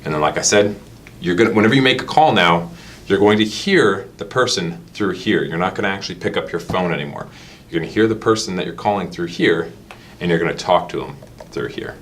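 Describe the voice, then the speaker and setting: Male tutorial presenter, low register, casual step-by-step instructional delivery, faint rumbling noise behind the voice. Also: animated, brisk, a bit bright. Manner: step-by-step explaining. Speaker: product demonstrator.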